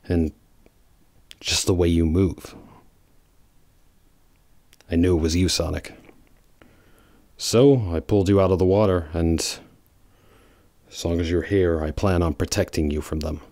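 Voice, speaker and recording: A man's voice: deep voice, steady tone, measured pace, long pauses, dark tone, no room sound. A man voicing a bitter, brooding, protective male hedgehog hero in a fan audio drama.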